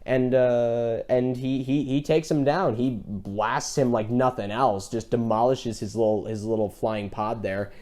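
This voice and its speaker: A man's voice: medium pitch, steady tone, unhurried, hardly any pauses, dark tone. A man co-hosting a casual film chat, talking in a rambling, enthusiastic fan's way. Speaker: podcast co-host.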